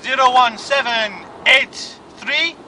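Guy putting on a high, bright, dopey caller voice, rambles and plays dumb on the phone, bit of hiss. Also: takes it slowly, exaggerated voice. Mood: bumbling. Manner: bumbling, absurd, feigned confusion. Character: dim foreign-sounding male caller Ravosh. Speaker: prank caller.

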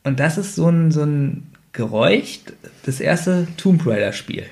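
Man, fairly high voice, podcast co-host, casual, emphatic, repeats himself for stress. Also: lively intonation, measured pace, vocal fry. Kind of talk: casual podcast discussion with opinions.

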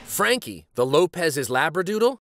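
A middle-aged man reads a picture book aloud as host, in an expressive, dramatic manner. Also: on the high side, normal speed, no room sound, studio quality. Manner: animated, dramatic. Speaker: children's storybook reader and show host.

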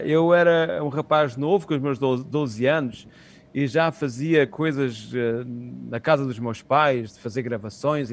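A middle-aged man with a European Portuguese accent, answering interview questions in a halting, conversational way over a video call. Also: mid-range voice, darkish tone. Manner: halting, searching for words, repeating phrases. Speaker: interview guest, community radio co-founder. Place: small room at home, on a video call. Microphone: earphone headset on video call.

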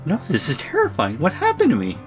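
A man acting out a tiny shrunken male student in a roleplay drama. His mid-pitched voice swings animatedly with incredulous stammering, and music plays underneath. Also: wildly swooping pitch, fast talker. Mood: alarmed. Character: bewildered, exasperated shrunken male student, three inches tall.

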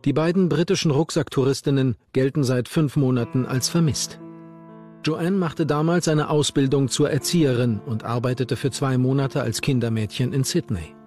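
A man's voice: medium pitch, normal speed, a little mellow. A man reading scripted narration for a true-crime documentary. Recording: narration over background music.

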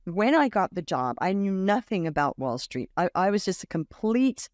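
A woman in her fifties, raised in Australia, telling stories as a podcast guest, lively and acting out the voices of others. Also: mid-range voice, normal speed, no room sound, studio quality. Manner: animated storyteller, acts out quoted voices, laughs often. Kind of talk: casual interview storytelling. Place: home room with bookshelf. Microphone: large studio mic on boom arm, video call.

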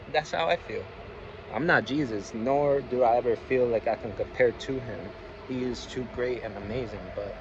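Slow-paced young man, slangy, noisy recording. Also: medium pitch, lo-fi sound. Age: young adult. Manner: slangy, swearing. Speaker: vlogger. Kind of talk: casual street-slang vlog talk to camera. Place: outdoors at a gas station, later inside a car. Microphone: phone at arm's length. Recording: loud outdoor and car noise.

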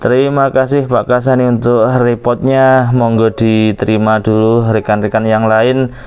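A man's voice: mid-range voice, little pitch movement, moderate pace. A man making short, formal amateur radio calls. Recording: clicky, very noisy radio shack recording. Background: loud radio hiss and clicks.

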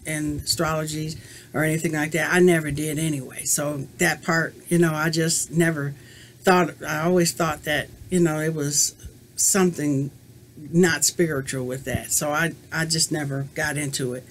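A woman's voice: sits low, fairly even intonation, moderate pace. Fifties lady vlogging at her home webcam, chatty and rambly, little bit of background hum. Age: 50s. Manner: rambling and reflective, many "you know" fillers. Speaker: crochet vlogger answering a tag. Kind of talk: casual chat to camera. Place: small room at home, indoors. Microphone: webcam at desk distance.

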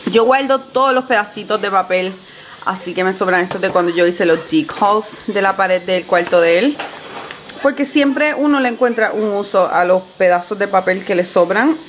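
A woman in her thirties chats casually into a handheld camera held near her face in a home living room. Her voice sits at an ordinary middle pitch for a woman. Faint household noise hums behind her. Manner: quizzing her toddler in slow, drawn-out questions. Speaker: vlogger mom. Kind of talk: casual home vlog chat. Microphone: handheld camera close to face.

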